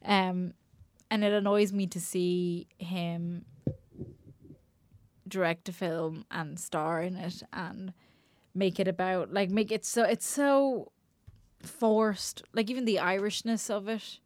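A woman's voice: medium pitch, fairly even intonation, takes it slowly, darkish tone, no echo. A woman co-hosting a casual film review podcast, laughing often as she gushes over films and rants about actors. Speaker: podcast co-host.

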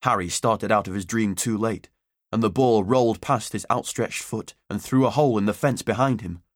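A man's voice: on the low side, moderate pace, no room sound, clean studio sound. A man reading a children's story as an audiobook narrator, in scripted narration.

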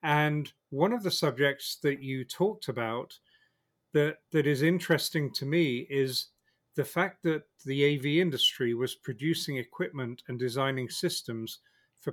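A man hosting a podcast, reading an introduction and then asking interview questions in a measured way. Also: medium pitch, fairly bright tone. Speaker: podcast host.

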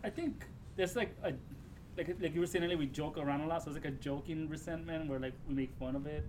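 Fast-talking man, casual and slangy. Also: on the high side, a little mellow, slight background noise, low-quality recording. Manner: laid-back, slangy, swearing. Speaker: podcast participant. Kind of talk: casual chat, storytelling.

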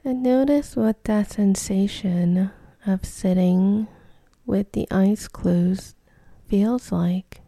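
A woman guides a meditation very slowly, in soft, soothing phrases. Her voice is deeply mellow and breathy, nearly whispered at times, with a creaky fry beneath it. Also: dry recording. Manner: soft, soothing, unhurried delivery with short phrases.

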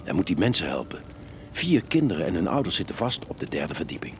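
A man's voice: deep voice, sing-song, fast. A man narrating a children's audio story in a dramatic, suspenseful, scripted style. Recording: music bed behind the narration.

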